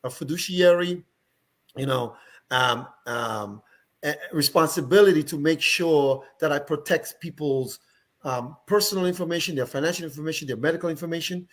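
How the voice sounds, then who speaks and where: A man's voice: on the high side, moderate pace. A man from Dominica with a Dominican accent worn by years abroad, a panel guest speaking confidently and emphatically with joking asides. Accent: Dominican, mixed with years abroad. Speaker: guest, licensed professional. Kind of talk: panel discussion.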